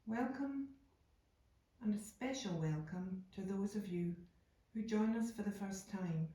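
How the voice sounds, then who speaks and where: A woman guiding a yoga nidra meditation in a calm, unhurried, soothing way, leaving deliberate pauses between phrases. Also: medium pitch. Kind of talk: slow guided meditation narration. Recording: echoey room, distant from microphone.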